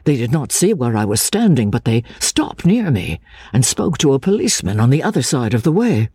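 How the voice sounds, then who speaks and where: A woman reader voices a careful, sincere gentleman in a classic audiobook. The delivery is measured and reassuring, with a dark, husky tone and lively intonation, very close and dry. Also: extremely low pitch, no echo, exaggerated voice. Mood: cautious. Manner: measured, deliberate, persuasive. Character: earnest, cautious gentleman narrator, gently coaxing and reassuring. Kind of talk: dramatic audiobook reading of classic literature.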